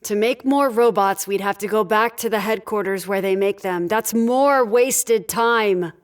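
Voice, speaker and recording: A woman's voice: medium pitch, a little slow, natural voice. A woman playing a no-nonsense mission leader on a spaceship in an audio drama, curt and authoritative. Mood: firm, curt.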